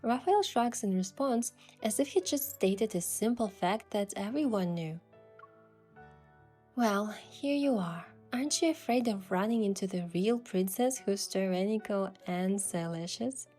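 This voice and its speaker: A woman's voice: mid-range voice, expressive, unhurried, dark tone. Woman narrating a romance, mid-range voice. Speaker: narrator and voice of the heroine. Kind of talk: scripted first-person romance narration. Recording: music behind the voice.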